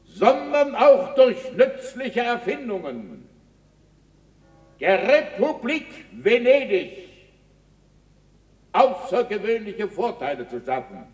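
An actor playing a deferential scholar giving a declamatory, deliberate formal address to dignitaries in a 1960s radio drama. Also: male voice, sits high, big pitch swings, a little mellow, soft background music, heavily acted. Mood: ceremonious, deferential. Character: Galileo as ceremonious, humbly flattering scholar addressing dignitaries. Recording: echoey hall, distant from the mic.

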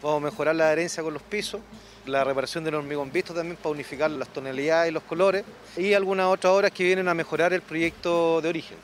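A man's voice: a little high, fairly even intonation, fast. A man with a Chilean accent explaining technical work as an engineer in a news interview. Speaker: construction engineer for the municipality. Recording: outdoor urban noise, vehicles.

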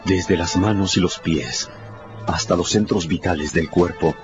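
Male radio-drama narrator, emphatic and theatrical, slightly muffled, clear music bed. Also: sits low, unhurried. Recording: muffled, under prominent music.

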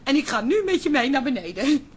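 High-voiced, emotional grown daughter. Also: female voice, big pitch swings, a little fast, a little hiss or hum. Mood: tearful, relenting. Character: wounded, sarcastic grown daughter, sentimental underneath. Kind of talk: radio play acting.

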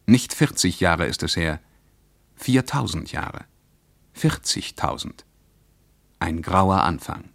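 A sceptical, pensive male traveller reading his diary in a radio play. The voice is very deep and dryly recorded, with a solemn literary delivery. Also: expressive, slow, vocal fry, long pauses, fairly bright tone, dry recording, heavily acted. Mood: solemn, ironic.

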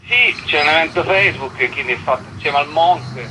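A man's voice: a little high, moderate pace, noisy background. A man being interviewed casually as a writer and stage actor, hesitant and often repeating words as he thinks.

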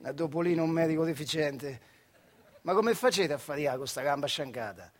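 A man's voice: a little high, expressive, fairly quick. A male actor with a Marche accent playing a sullen, cheeky twelve-year-old farm boy in a theatrical monologue, speaking plaintively. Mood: hesitant. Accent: Marche, central Italy.

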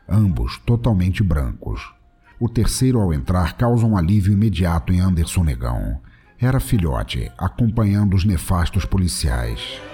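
A man narrating a scripted comedy story dramatically, with a deliberate, mock-solemn delivery. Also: low voice, dark tone, husky.

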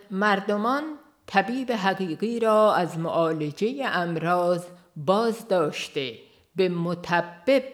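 A woman reads a religious book as scripted audiobook narration, in a measured, formal, literary manner. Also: on the low side. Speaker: audiobook reader.

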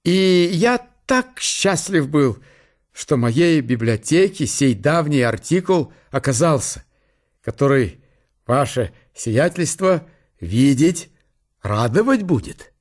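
An actor playing a deferential German general who speaks with a German accent in halting, stilted, very formal phrases. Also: male voice, on the high side, big pitch swings. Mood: proud, flattering.

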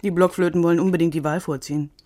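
A woman's voice: lowish voice, steady tone, rapid-fire, dark tone, lightly acted. An actress playing a wry, idealistic woman in politics, chatting casually over dinner in a naturalistic radio drama. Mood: wry, frustrated. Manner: casual, wry, talking while eating.

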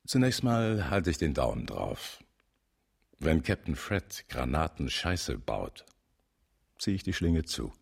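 A shrewd older police chief commands in a very deep, husky voice. He is calculating and blunt, setting out his schemes with measured authority in radio drama style. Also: very animated, heavily acted. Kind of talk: German radio drama acting.

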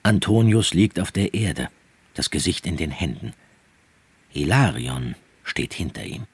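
Narrator for literary radio drama: male, very low register, lively intonation, dramatic scripted reading, noisy backdrop. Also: unhurried, slightly rough, dry recording.

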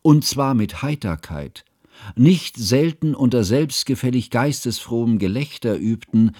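An actor playing an earnest, troubled scholar who narrates a literary radio drama in a measured, formal, precise way. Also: male voice, mid-range voice, a little mellow, no echo, clean studio sound. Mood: disapproving.

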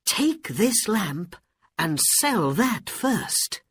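An anxious, earnest young storybook boy, voiced by a woman, pleads in simple, emphatic phrases. The voice jumps up high from a husky base and moves slowly, with lots of swoop. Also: big pitch swings, no echo, full character voice. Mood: urgent. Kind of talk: storybook character voice.

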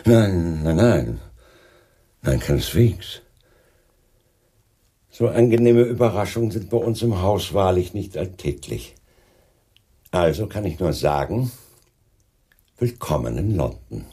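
An actor playing a sardonic, self-assured police inspector in a German radio crime drama, dryly and with ironic courtesy. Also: male voice, low-pitched, expressive, takes it slowly, long pauses, warm tone, husky, full character voice. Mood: courteous, surprised.

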